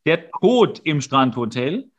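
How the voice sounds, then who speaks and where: A man co-hosting a podcast in casual, humorous banter, often mock-serious and halting as he corrects himself. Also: a little high, big pitch swings. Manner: mock-serious, tongue-in-cheek. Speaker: podcast co-host.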